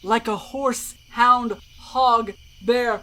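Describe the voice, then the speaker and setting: A woman's voice: fairly high pitch, steady tone, very slow, bright, forceful tone, exaggerated voice. A woman playing a mischievous, boastful shapeshifting sprite in a theatrical Shakespeare reading. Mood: gleeful menace. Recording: music behind the voice.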